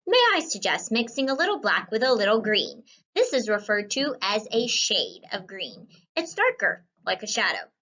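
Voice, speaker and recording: A woman voices a trusty little male companion from a storybook who teaches about art. The speech is precise and helpful, bright and very varied in pitch. The sound is slightly lo-fi. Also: sing-song, moderate pace. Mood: helpful, explaining. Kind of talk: scripted children's read-aloud.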